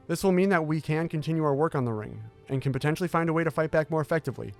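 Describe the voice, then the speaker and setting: A man playing a composed, determined military scientist who speaks in a measured, formal, authoritative way in an audio drama. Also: on the high side, soft background music, lightly acted. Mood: formal, explanatory. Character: composed, determined male military intelligence scientist briefing Marines. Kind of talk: audio drama acting, briefing.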